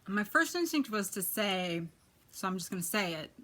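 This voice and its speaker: A soft-spoken woman with a quiet voice, chatting casually as she gives a tarot reading, often dropping to a near whisper. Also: medium pitch, very animated, normal speed. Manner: chatty, many fillers, drifts to near-whisper. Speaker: tarot reader. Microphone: quiet home recording.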